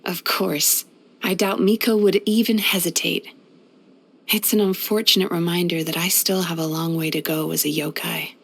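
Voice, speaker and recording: Soft-spoken, wistful yokai. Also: female voice, fairly low pitch, little pitch movement, vocal fry, breathy edge. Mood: earnest, hesitant. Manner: soft-spoken, measured, reflective and polite. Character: gentle, wistful centuries-old yokai woman, a dream-eating healer. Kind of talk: scripted video game character voice-over.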